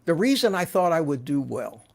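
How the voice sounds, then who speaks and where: A man in his seventies, a guest in a casual interview, telling stories in an animated, chatty way with frequent restarts. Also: fairly high pitch, moderate pace, vocal fry. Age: 70s.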